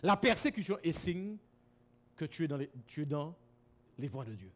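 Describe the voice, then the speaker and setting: Guadeloupe-born preacher guy, highish voice, big swings up and down, shouts then drops quiet. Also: sing-song, moderate pace. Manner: swings from loud exclamations to low asides, repeats words. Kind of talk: sermon. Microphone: camera-recorded.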